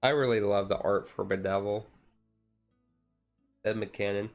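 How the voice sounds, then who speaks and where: A man's voice: lowish voice, steady tone, a little slow. A man streaming a casual, laid-back ASMR chat in a rambling way, with music behind him.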